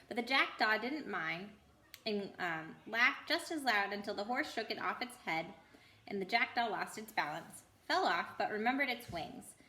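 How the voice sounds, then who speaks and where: A woman's voice: medium pitch, pushed and bright, reverberant. A woman reading a children's book aloud expressively as a storyteller, with the odd stumble.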